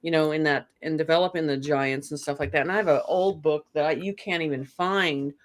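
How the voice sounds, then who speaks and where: A woman's voice: sits low, fairly even intonation, fairly quick. A woman talking casually as an interview guest, telling stories in a rambling way with frequent "you know" asides. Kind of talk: casual conversational storytelling.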